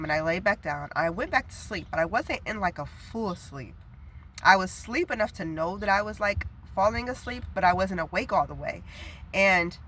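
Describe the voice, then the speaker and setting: A young woman speaks in a bright, nasal voice, stuffed up from a head cold. She delivers a prophetic message in a casual, earnest way, rambling with fillers as she goes. Faint background noise sits under her voice. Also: on the low side. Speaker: prophetic video preacher.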